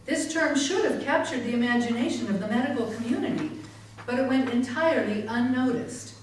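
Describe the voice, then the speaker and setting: A woman's voice: a little high, fairly quick, natural voice. A woman playing a determined, indignant activist and investigator in dramatic readers theater. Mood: indignant, earnest. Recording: very echoey hall, far mic, faint noise.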